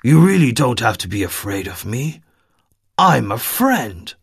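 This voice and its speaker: Brief: calm amnesiac young man, read by the male narrator, gentle, lively inflection. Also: mid-range voice, unhurried, creaky, slightly rough, no room sound, clean studio sound, exaggerated voice. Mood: pleading. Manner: gentle and pleading. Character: polite young man who has lost his memory.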